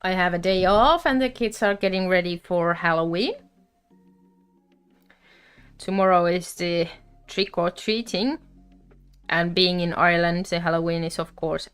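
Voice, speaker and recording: Lady hosting a crochet live, chatty w lots of uhs, smooth lowish voice, faint music behind. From Finland. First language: Finnish. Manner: chatty and unhurried, with frequent fillers. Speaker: crochet livestream host. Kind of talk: casual live crafting chat.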